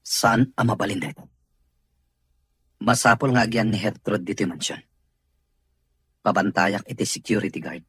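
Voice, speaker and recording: A man's voice: on the low side, moderate pace, pauses often, fairly bright tone, slightly rough, no room sound, full character voice. A man with a Filipino accent playing a firm, protective, authoritative boss of a mansion in an audio drama. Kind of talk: Ilocano audio drama acting.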